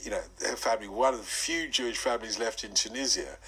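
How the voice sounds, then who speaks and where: A man's voice: fairly low pitch, quick pace, pushed and bright, slightly rough. A man in his fifties hosting a radio interview, talking in a musing, conversational way and asking leading questions. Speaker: radio host.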